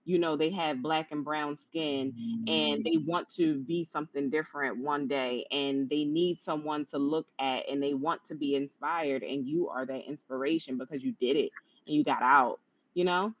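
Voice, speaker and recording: A woman with a low-pitched voice hosting a conversational interview in an encouraging, affirming manner. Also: fairly even intonation, moderate pace, no echo. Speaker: interview host. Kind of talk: conversational live-streamed interview. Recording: dull lo-fi online stream audio.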